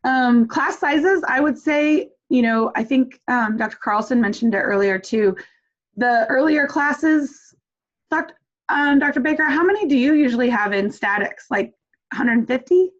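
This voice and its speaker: A woman's voice: high-pitched, animated, measured pace. A woman academic advisor answering questions in a casual chatty way on a video call. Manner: chatty, many fillers. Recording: dull lo-fi video call audio.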